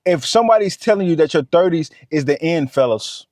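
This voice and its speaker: A man's voice: a little high, animated, fairly quick, darkish tone, no room sound, clean studio sound. A man, giving a motivational talk to camera. He talks straight to the viewer and repeats his points. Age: 30s. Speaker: vlogger.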